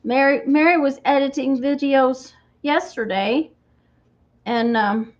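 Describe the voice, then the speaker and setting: A woman hosting a craft livestream, chatting casually and greeting viewers by name as she works, her voice slightly croaky from a cold. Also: high voice, slow, lo-fi sound. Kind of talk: casual chat while crafting.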